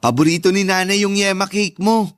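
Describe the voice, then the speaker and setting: Casting: man as young Filipino little brother in radio drama, notably high-pitched for a male, earnest and grateful, dry studio recording. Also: fairly even intonation, fairly quick, dry recording, lightly acted. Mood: light, familial. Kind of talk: Tagalog radio drama acting.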